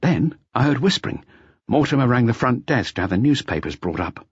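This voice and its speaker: A man voicing an eager, chatty junior police detective who briskly confides his report to the chief. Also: mid-range voice, sing-song, husky, dry recording, highs cut off, pitched above the performer's own voice.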